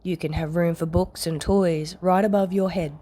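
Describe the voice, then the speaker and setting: A calm, caring man, voiced by a woman narrator, speaks in a lowish pitch. His delivery is firm and deadpan with a gentle edge, as in a story reading. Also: moderate pace, lightly acted.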